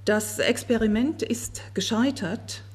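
Woman, interpreter, husky voice, steady fluent delivery, table mic, conference room. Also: on the high side, takes it slowly. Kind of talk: consecutive interpreting. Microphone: table microphone.

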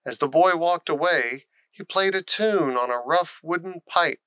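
A man's voice: on the high side, a little slow, fairly bright tone, smooth, dry recording. A man reading a children's story aloud as scripted narration. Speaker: storybook reader.

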